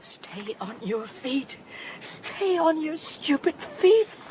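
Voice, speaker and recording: An actress playing a dying young woman in a radio drama, weak and halting but wryly defiant. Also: high-pitched, big pitch swings, background noise, full character voice. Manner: wry, halting. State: weak, struggling to keep going.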